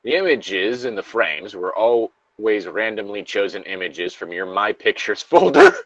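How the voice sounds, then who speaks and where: A man's voice: fairly low pitch, very animated, unhurried, a bit bright, no echo, muffled recording. A man reading a horror story aloud as co-host, casually, with joking asides and the odd stumble. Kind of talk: casual co-reading of creepypasta with banter.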